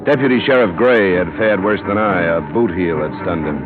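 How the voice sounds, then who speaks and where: Man playing a hard-boiled investigator, very low voice, terse, tinny radio, loud music. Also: expressive, a little fast, close to an everyday voice. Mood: grim. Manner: terse first-person narration. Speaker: insurance investigator narrator. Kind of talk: 1950s radio drama narration.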